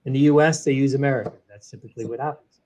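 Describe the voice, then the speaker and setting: A man, a guest in an interview, explaining a software product in an animated way, walking through each step. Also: mid-range voice, racing, poor audio. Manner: animated, walks through steps one by one. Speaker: guest, sales training software executive.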